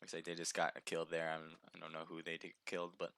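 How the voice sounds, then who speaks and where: A man giving chatty play-by-play commentary on a live mobile game match, his voice slightly hoarse from a sore throat. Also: low voice, completely flat delivery, quick pace, fairly bright tone, slight background noise. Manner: chatty, upbeat, reads viewer names aloud.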